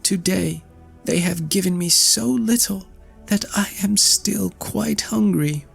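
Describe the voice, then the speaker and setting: A man's voice: high voice, slow, gravelly, husky, faint music behind, pitched above the performer's own voice, exaggerated voice. A man voicing a meek, hungry young girl who pleads in a plaintive voice, in a read-aloud fairy tale. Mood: tearful, pleading.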